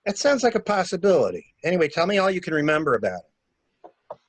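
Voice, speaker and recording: A man's voice: high voice, big pitch swings, a little fast, no echo. A man in a dramatic mystery reading, playing a shrewd stranger who questions a widow with wry, insistent probing. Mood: probing, curious. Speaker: library staff reader.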